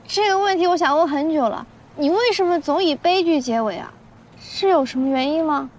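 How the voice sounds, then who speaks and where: A woman's voice: sits high, fairly quick, close to an everyday voice. A woman playing a bubbly, earnest, slightly awkward young professional in a modern romantic drama. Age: young adult. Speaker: actress in a television drama.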